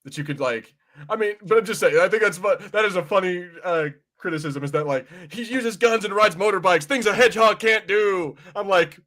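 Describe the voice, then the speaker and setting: Very fast-talking man on a casual podcast. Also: high voice, a bit bright. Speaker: podcast host. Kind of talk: casual pop-culture podcast chat.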